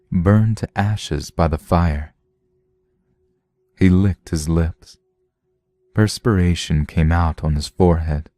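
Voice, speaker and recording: A man narrating a scripted dramatic story in a measured, suspenseful manner. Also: low-pitched, dark tone, dry recording.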